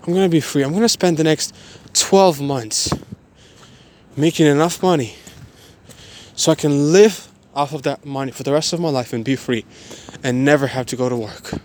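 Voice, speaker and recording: A man of twenty-one giving a motivational personal monologue in a halting, repetitive, emphatic way. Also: a little high, a little hiss or hum.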